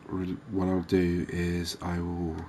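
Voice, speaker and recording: A man giving soft-spoken ASMR game commentary as the host, in a gentle, unhurried, hesitant way. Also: low-pitched, monotone, slight background noise. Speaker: gaming video host.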